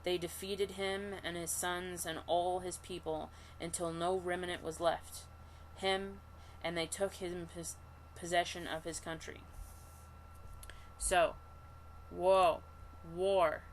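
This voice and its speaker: A woman with a self-described monotone voice, leading an online scripture study, reading passages aloud and talking them through with halting restarts. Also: lowish voice, slight background noise, rough recording. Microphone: computer mic for an online session.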